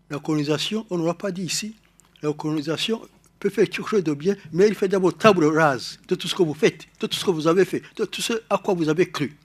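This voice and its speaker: A man from Rwanda with a Rwandan French accent, speaking to an audience in a halting, explanatory way, often repeating words and restarting. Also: fairly high pitch. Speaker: conference speaker. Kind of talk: lecture-style talk to an audience.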